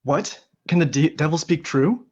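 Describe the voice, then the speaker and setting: A man's voice: a little high, normal speed, darkish tone, natural voice. A man playing a wary, cautioning soldier lord in a Shakespearean radio play, speaking theatrical verse. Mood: wary warning. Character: wary soldier lord, suspicious of dark prophecy. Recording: slightly dull, lo-fi sound.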